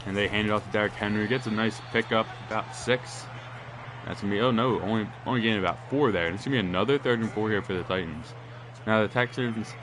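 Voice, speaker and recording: A man with a lowish, stuffed-up, nasal voice calls a football video game in a loose, rambling way. His voice jumps up on the big plays. There's clear noise underneath the recording. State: congested, nasal. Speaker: gaming commentator.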